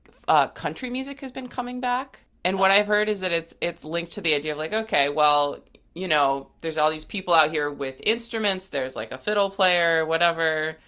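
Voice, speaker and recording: A woman's voice: low-pitched, moderate pace, sharp tone. A woman talking casually as an interview guest, a musician speaking in an earnest, reflective way.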